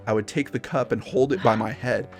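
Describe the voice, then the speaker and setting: A man's voice: mid-range voice, fairly even intonation, brisk, soft background music. A man co-hosting a casual discussion show, chatting and telling stories in an informal, skeptical way. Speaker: podcast co-host.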